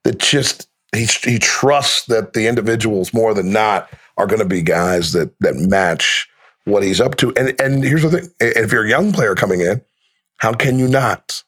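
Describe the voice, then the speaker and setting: Fifties guy, husky voice, easygoing storyteller on a podcast. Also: on the low side, moderate pace, a bit bright. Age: 50s. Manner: laid-back, storytelling, laughs easily. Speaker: guest, radio play-by-play announcer. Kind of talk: casual podcast conversation.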